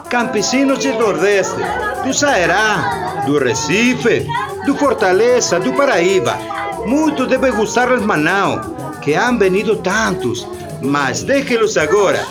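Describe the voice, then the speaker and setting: A woman's voice: medium pitch, big pitch swings, slow, over loud music, a loud crowd. An actor, a woman, playing a festive Brazilian carnival announcer in a radio drama, theatrical and exclamatory, with a Brazilian accent and Portuguese mixed into the Spanish. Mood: exuberant, dismissive. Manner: theatrical, exclamatory, repeats phrases.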